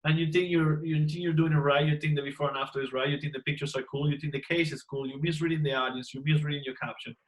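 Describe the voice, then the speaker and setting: A man's voice: on the high side, steady tone, quick pace, hardly any pauses, rough recording. Emphatic man firing rhetorical questions. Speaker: dentist and course teacher. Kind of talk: advice on a panel discussion.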